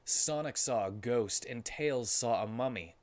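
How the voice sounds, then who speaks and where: A man reading amateur fiction aloud as a streamer, in a mock-serious, animated comic style. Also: medium pitch, unhurried, no echo.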